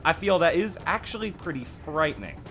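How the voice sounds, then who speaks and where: A man's voice: fairly high pitch, big pitch swings, a little fast, noisy background. A man giving casual, conversational commentary on a game update as a host, offering his own opinions with some self-deprecation. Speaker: gaming channel host.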